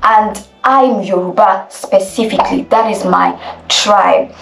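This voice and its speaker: A Nigerian woman with a Nigerian accent, teaching as an elocution coach, speaking deliberately and repeating key phrases for emphasis. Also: medium pitch, vocal fry, echoey room, distant mic, background noise. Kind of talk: instructional video talk.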